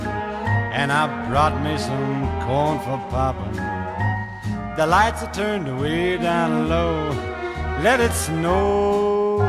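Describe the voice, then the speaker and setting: A man singing a Christmas standard. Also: on the high side. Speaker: singer. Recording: music recording with instrumental backing. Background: loud musical accompaniment.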